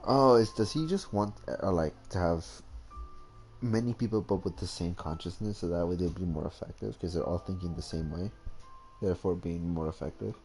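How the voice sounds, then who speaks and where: A man's voice: low-pitched, moderate pace, a little mellow. A man giving casual commentary on a game he is playing, rambling as he thinks aloud. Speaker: gamer reacting to his playthrough. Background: game music.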